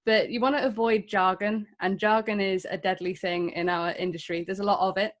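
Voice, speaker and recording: A woman's voice: mid-range voice, fairly even intonation, a little fast. A woman in her thirties with an English accent, giving a candid, self-deprecating talk to an audience of developers. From England. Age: 30s. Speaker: conference speaker.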